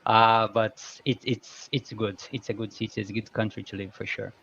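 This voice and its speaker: A man's voice: lowish voice, little pitch movement, moderate pace, gravelly, a bit bright, slightly rough, no room sound, muffled recording. A man from Brazil with a Brazilian Portuguese accent, chatting casually as a guest and searching for words as he goes. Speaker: guest speaker. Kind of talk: casual video-call chat.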